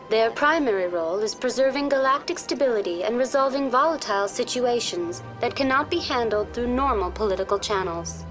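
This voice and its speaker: Polite computer tour guide in a video game, high voice, very proper and precise, music behind it. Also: female voice, fairly bright tone. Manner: formal, courteous, precisely worded. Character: polite female virtual intelligence tour guide, calm and programmed. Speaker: virtual guide. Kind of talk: scripted informational video game dialogue.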